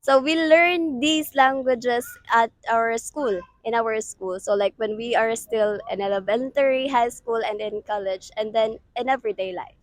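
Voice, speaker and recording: Filipino woman, slightly high voice, dry sound, quick explainer. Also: no room sound. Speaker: street interviewee. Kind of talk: casual answers to a street interview.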